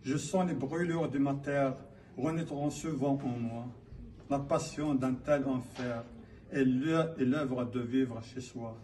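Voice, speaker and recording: A man from Kabylia in Algeria declaiming his own poetry in a solemn, measured manner. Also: medium pitch, warm tone. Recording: distant mic, faint background noise.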